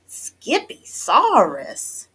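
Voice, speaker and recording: Woman as a bossy, high-pitched mother cat. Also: wildly swooping pitch, extremely slow, a bit bright, husky, full character voice. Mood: stern. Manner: hollering, ordering.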